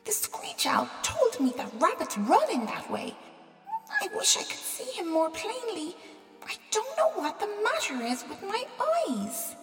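A woman's voice: extremely high pitch, huge pitch swings, measured pace, distant mic, pitched above the performer's own voice, heavily acted. A woman voicing a naive, curious young male bat in a storybook reading. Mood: puzzled. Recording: echoey room, soft music.

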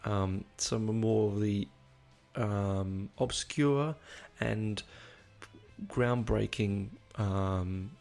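A man hosting a music podcast in a casual, rambling way, his voice croaky from a cold. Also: on the low side, very slow, darkish tone. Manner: rambling, many ums and restarts. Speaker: podcast host.

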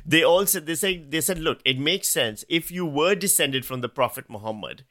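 A man chatting on a comedy podcast. His voice is bright and forceful and swoops up and down as he tells stories. He mocks himself and laughs often. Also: on the high side, moderate pace. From Pakistan. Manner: self-mocking, animated storyteller, laughs often. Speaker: podcast co-host. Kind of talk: casual comedic chat.

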